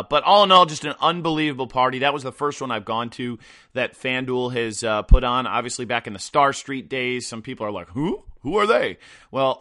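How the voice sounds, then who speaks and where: Man, podcast host, hoarse, bright tone, casual rambling talk, many fillers. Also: medium pitch. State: hoarse after a weekend of travel and partying.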